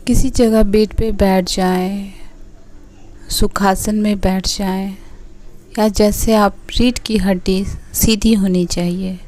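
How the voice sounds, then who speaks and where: A woman leading a guided meditation, giving soothing, unhurried instructions in short phrases with pauses between them. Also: mid-range voice, level tone, darkish tone, rough recording.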